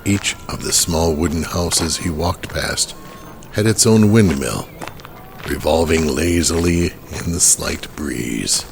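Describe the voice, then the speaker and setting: A man narrating an audio drama from a script in a measured storytelling manner. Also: extremely low pitch, gravelly, slight background noise. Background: prominent music.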